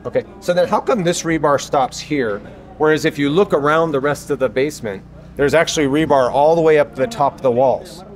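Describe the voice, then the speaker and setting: Curious host asking building questions. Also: male voice, a little high, a little fast, faint music behind. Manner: curious, asks follow-up questions, frequent okays. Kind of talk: interview questions. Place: outdoor construction site by a basement form wall.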